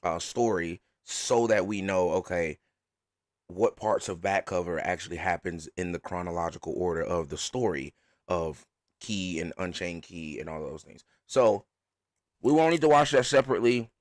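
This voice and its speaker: A man's voice: deep voice, normal speed, no echo, studio quality. A man hosting a reaction live stream, chatting in an informal, rambling, self-effacing way. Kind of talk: casual live stream chat.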